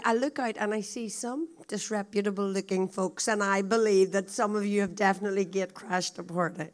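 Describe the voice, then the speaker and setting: A woman with a croaky, hoarse voice, a visiting speaker telling a story to a congregation in a chatty, confiding way. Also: mid-range voice. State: hoarse, coughing.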